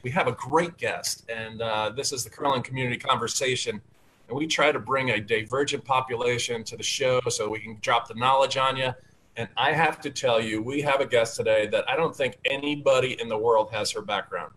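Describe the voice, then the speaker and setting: A man's voice: mid-range voice, fairly quick, fairly bright tone, rough recording. A man hosting a casual video call talk show from a home study with bookshelves, upbeat and chatty with plenty of fillers. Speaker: host and candidate. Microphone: video call webcam.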